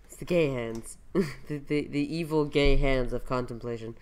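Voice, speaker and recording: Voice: medium pitch, normal speed, darkish tone, clear, resonant tone. A playful, teasing speaker giving casual, joking reaction commentary and swearing freely. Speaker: comic dubber reading and reacting.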